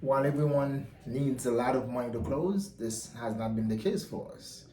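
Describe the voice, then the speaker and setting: A man answering interview questions in a confident, relaxed way. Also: mid-range voice, normal speed, slight background noise. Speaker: guest, mortgage company founder. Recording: echoey room with faint ambience.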